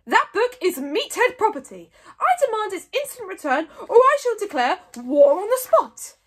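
A woman voicing a haughty, indignant rival Viking chief, a man who booms and sneers, in a storybook. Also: big pitch swings, normal speed. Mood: outraged. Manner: booming, haughty.

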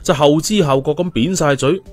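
A man's voice: on the high side, normal speed, faint music behind. A man narrating an audiobook story in a storytelling style.

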